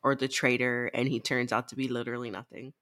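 A woman's voice: a bass voice, flat delivery, fast, fairly bright tone. Opinionated, informal woman podcast co-host. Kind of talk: casual chat about books.

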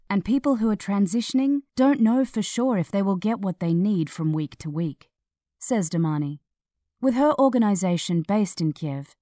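Mellow woman reading a news article. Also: mid-range voice, dry recording, studio quality. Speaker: article narrator. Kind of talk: scripted news article narration.

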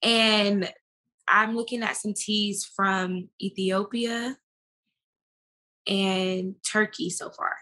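A woman's voice: mid-range voice, steady tone, very slow, long pauses, pushed and bright. An American woman talking casually as an interview guest, with an emphatic, slangy way of speaking. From United States.

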